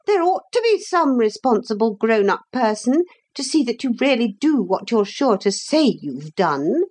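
A smug grown-up lady villain from a storybook. Her voice is pushed high and swings widely in pitch, with false sweetness. She is bossy and talks down to everyone. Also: big pitch swings, a little slow, a little mellow, breathy edge, full character voice. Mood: condescending. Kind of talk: storybook character voice.

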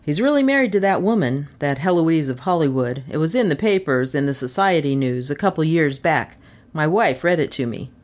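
A woman's voice: deep voice, animated, fairly quick, darkish tone. A woman voicing an eager, deferential male sheriff's deputy in a mystery story.

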